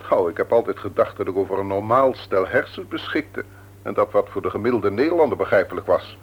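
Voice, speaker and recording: Pompous company boss, swooping intonation. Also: male voice, lowish voice, animated, fairly quick, breathy edge. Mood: baffled, exasperated. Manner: pompous, formal, emphatic. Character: formal, self-important male company boss, easily baffled. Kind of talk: Dutch radio play acting. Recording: muffled old radio play recording.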